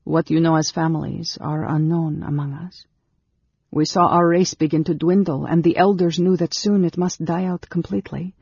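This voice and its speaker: A woman voicing an earnest, nervous woman of a long-lived secretive race in a storybook, speaking haltingly and with emotion. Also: sits low, steady tone, gravelly, darkish tone, breathy edge, dry recording, highs cut off, exaggerated voice. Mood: earnest, flustered.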